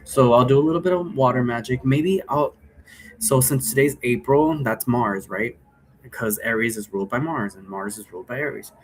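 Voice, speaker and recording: A man's voice: medium pitch, normal speed, rough recording. Casual, rambling man with background noise. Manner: often tags sentences with a questioning "right?". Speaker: vlogger explaining role play rules. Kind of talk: casual, rambling explanatory monologue.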